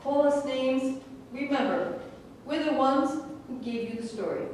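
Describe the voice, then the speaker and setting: A woman's voice: sits high, measured pace, darkish tone. A woman reading the part of a taunting barroom troublemaker in a staged play. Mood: snide, taunting. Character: snide, taunting troublemaker at a bar. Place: echoey room at a live staged reading. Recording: echoey room, far mic, faint noise.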